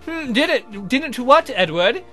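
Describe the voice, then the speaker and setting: A man voicing a sardonic, theatrical vampire count for a visual novel game, mocking and campy in a drawn-out way. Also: mid-range voice, sing-song, fairly bright tone, faint music behind, full character voice. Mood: taunting.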